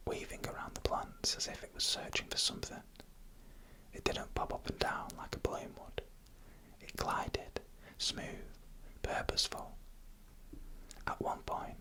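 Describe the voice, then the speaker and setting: An ASMR narrator tells eerie tales entirely in a whisper. The sound is bright and sibilant, with no voiced tone. The delivery is hushed and deliberate, close on the microphone. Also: rough recording. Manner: slow, hushed, deliberate storytelling in an unvoiced whisper. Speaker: ASMR storyteller. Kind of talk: whispered ASMR narration of scary first-person stories.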